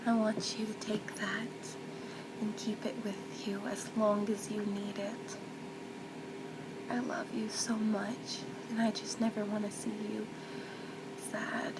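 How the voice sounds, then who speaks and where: Woman playing a gentle mom soothing her kid, asmr style, soft n slow almost whispery, super noisy background. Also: mid-range voice, flat delivery. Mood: tender, encouraging. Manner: tender, slow, reassuring, often near a whisper. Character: loving mother gently comforting her child. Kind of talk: ASMR role play, soft-spoken. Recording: loud constant background noise, ambience.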